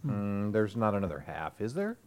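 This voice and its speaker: A man's voice: lowish voice, big pitch swings, normal speed, darkish tone. A man co-hosting a radio talk show, chiming in with dry one-liners. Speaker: radio co-host. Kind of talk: casual studio banter.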